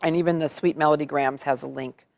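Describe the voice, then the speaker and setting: A woman's voice: low-pitched, fairly even intonation, quick pace, creaky, a little mellow. A middle-aged woman, chatting upbeat about her work as an entertainer in an interview. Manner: chatty, upbeat, laughs easily. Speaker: entertainer and singer. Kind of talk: casual interview chat.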